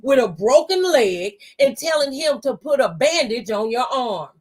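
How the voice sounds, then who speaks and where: A woman's voice: high-pitched, lively intonation, moderate pace, a little mellow, breathy edge. A woman radio host talking conversationally in a preacherly, testifying way, offering faith-based encouragement. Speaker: radio talk show host.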